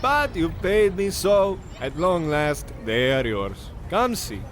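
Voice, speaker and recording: A man playing a proud, salesmanlike bootmaker in a comic radio play, with a gravelly creak and portentous, dramatic delivery. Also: on the high side, sing-song, takes it slowly, a little hiss or hum. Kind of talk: comic old-time radio play acting.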